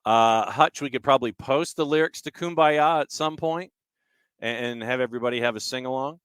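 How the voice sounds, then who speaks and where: Male sports talk host, mid-pitched, resonant, conversational and halting, working through listener comments. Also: a bit bright, clean tone, no room sound, studio quality. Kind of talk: casual soccer talk, reading and answering listener comments.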